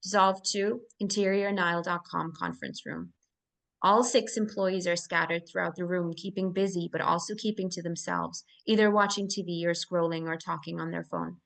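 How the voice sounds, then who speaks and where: A woman's voice: lowish voice, moderate pace, gravelly, a bit bright. A woman reading scene directions as narrator at a live screenplay table read.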